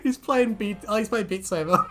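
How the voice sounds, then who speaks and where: A woman's voice: medium pitch, a little fast, a bit bright. A woman chatting casually and jokily as a co-host, swearing freely and prone to giggling. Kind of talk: casual banter, reacting to fan comments.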